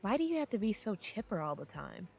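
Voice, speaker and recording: A woman's voice: medium pitch, sing-song, fast, vocal fry, slightly rough, soft background music, exaggerated voice. A woman playing a moody, sarcastic older child who grumbles in an audio drama. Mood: sullen, sarcastic.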